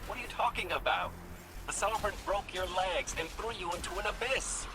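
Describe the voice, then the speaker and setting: An actor voicing a small, excitable, polite robot sidekick in a video game, articulate and fretful. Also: male voice, high-pitched, fairly quick, close to an everyday voice. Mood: alarmed. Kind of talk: video game cutscene acting. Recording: clear background noise and ambience.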